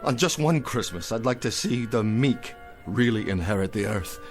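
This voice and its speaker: An actor playing an aging, tender-hearted, down-and-out drinker in an emotional radio drama style. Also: male voice, fairly low pitch, animated, moderate pace. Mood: mournful, impassioned. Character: aging, weary, drunken, tender-hearted down-and-out man. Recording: radio drama with music underneath.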